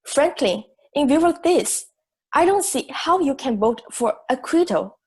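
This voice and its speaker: A young woman playing a logical, self-assured juror in an amateur audio drama, speaking in a measured, articulate way. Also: high voice, animated, a little mellow. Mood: firm, certain. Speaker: student actor in school drama class.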